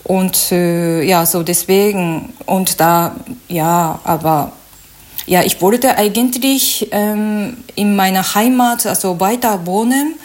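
A woman from Japan with a Japanese accent, speaking German as a second language in an informal, halting way, searching for words as an interview guest. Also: mid-range voice, a little mellow. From Suwa, Nagano, Japan. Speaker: interview guest, glass artist.

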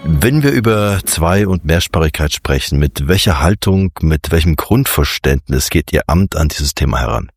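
A male radio host with a low, husky voice presents and asks interview questions. The sound is dry and close, with some music in the programme. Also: animated, normal speed.

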